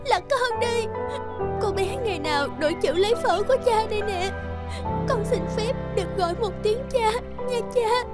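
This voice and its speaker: Affectionate cartoon daughter, gentle, very high voice, loud music behind. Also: female voice, moderate pace. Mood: emotional, loving. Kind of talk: cartoon voice acting.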